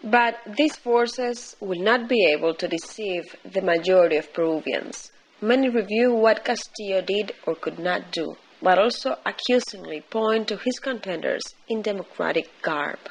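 A woman reading a scripted news commentary in a formal, deliberate way. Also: medium pitch, slight background noise, low-quality recording. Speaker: news reader.

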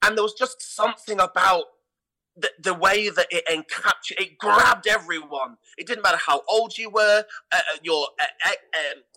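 A middle-aged man, telling stories casually as an interview guest, in an animated way. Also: sits high, moderate pace, poor audio. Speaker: theatre artistic director, podcast guest.

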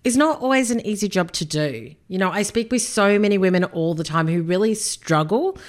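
A woman's voice: medium pitch, animated, brisk. Upbeat Australian woman podcast host. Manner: upbeat, chatty, addresses listener directly. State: slightly nasal from illness. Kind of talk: casual solo podcast talk.

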